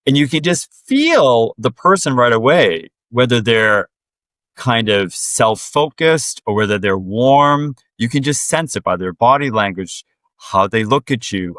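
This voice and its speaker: Earnest, direct man with a low voice. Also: fairly bright tone, no room sound. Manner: earnest and direct, speeding up when emphatic. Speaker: podcast guest. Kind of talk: reflective conversational interview.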